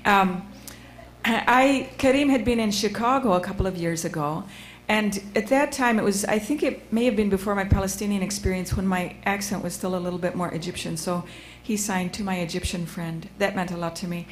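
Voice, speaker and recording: A woman's voice: mid-range voice, a little fast, a little hiss or hum. A woman moderating a panel, casually introducing the next speaker and searching for words with fillers. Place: museum lecture room.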